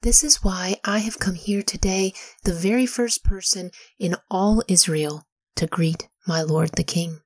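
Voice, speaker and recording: Repentant man pleading for mercy, read by a woman in a breathy whisper, dry. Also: fairly low pitch, steady tone, measured pace, hardly any pauses, dry recording. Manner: soft whisper. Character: repentant man begging forgiveness.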